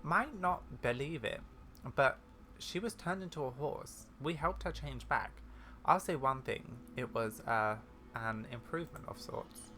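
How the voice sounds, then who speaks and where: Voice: mid-range voice, expressive, a little slow, bright, forceful tone, slight background noise. A gamer voicing an earnest, curious young heroine in video game dialogue read aloud. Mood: dry.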